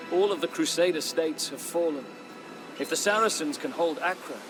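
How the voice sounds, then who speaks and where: An actor playing a weary, middle-aged former crusader knight who narrates a game's war chronicle in a solemn, measured, dramatic storytelling manner. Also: male voice, on the high side, natural voice. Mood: grave, tense. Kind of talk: dramatic scripted first-person narration. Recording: music under the voice. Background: music.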